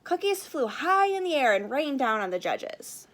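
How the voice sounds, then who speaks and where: A woman reading a children's story aloud as narrator, in an expressive style. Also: high voice, normal speed, a little hiss or hum. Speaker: story reader.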